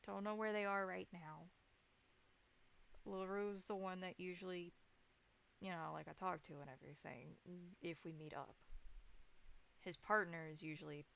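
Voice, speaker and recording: A woman voicing a cornered male informant in a tabletop game, evasive and halting, eager to placate. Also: on the low side, fairly even intonation, gravelly, a bit bright, dull audio.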